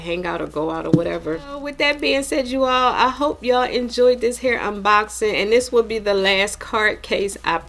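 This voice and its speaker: Chatty American woman in her forties. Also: fairly high pitch. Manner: chatty, informal, repeats points for emphasis. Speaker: vlogger. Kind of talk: casual unboxing chat to viewers.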